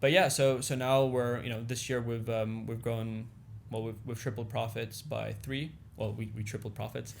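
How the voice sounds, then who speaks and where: A man's voice: medium pitch, level tone, creaky, a little hiss or hum. A young man whose first language is Indonesian, chatting casually as a podcast guest and often repeating himself. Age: young adult. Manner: repetitive, halting phrasing with frequent "you know" and "like". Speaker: podcast guest, business owner. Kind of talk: casual interview chat. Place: small indoor podcast studio, seated on a couch. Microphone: boom-arm studio mic with pop filter.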